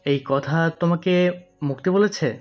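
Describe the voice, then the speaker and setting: Woman doing a blunt, hot-tempered young man, low-pitched. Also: moderate pace, soft background music, close to an everyday voice. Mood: questioning. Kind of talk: audio-story dialogue acting. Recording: slightly dull, muffled audio.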